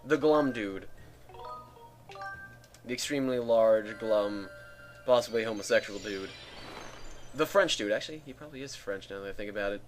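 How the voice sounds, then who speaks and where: A man with a dry, raspy throat rambling casually as a let's play host. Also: lowish voice, normal speed, pauses often, soft background music. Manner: rambling, chatty. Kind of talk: casual gameplay commentary. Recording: home mic with static.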